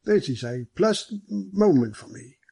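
Man as a genial police superintendent, pitched up, husky. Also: expressive, unhurried, dark tone, no echo, clean studio sound, exaggerated voice. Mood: hearty welcome. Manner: hearty, courteous, formal. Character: genial, large, greying male English police superintendent.

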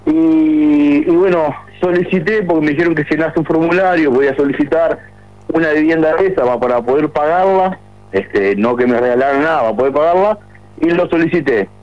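A man's voice: on the high side, brisk. A man in his forties with a Uruguayan accent, calling a radio show to complain at length, with many restarts. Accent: Uruguayan Spanish. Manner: aggrieved, rambling with many restarts. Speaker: caller. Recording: muffled phone line on radio.

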